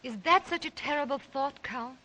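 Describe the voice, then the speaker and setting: A woman's voice: high voice, normal speed, sharp tone. An actress playing a polite, curious lady guest in an old 1930s drama style, on a noisy old soundtrack. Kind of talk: 1930s film-era drama acting.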